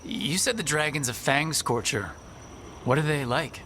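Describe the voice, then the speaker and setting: An actor playing an easygoing, self-deprecating young fantasy hero with a casual, lightly joking manner. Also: male voice, on the high side, expressive, a little slow, pushed and bright, a little hiss or hum, exaggerated voice. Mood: light, game. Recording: music behind the voice.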